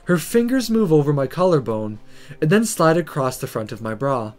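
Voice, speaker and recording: A man hosting a let's play, reading a visual novel aloud dramatically and commenting on it in an expressive, theatrical way. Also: on the high side, normal speed, faint music behind.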